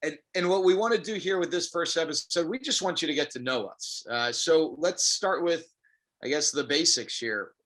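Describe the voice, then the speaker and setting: A man's voice: on the high side, moderate pace, fairly bright tone. A man from Texas hosting a podcast, emphatic and enthusiastic as he introduces his co-host and guests. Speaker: podcast host, baseball radio broadcaster.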